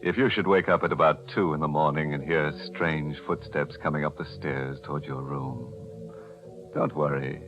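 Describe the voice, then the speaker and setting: Creepy wisecracking american radio horror host, really low voice, muffled 40s sound w some music. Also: male voice, moderate pace. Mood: sly, macabre. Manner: sardonic, deadpan ghoulish humor. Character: sardonic, macabre, chuckling mystery-show host. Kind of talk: 1940s radio acting.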